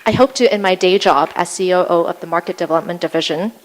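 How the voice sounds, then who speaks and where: A woman's voice: on the low side, slight background noise, low-quality recording. Earnest young woman giving a speech. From Hong Kong. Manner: earnest, measured, slightly formal with small fillers. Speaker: award recipient. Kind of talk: prepared acceptance speech. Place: event hall.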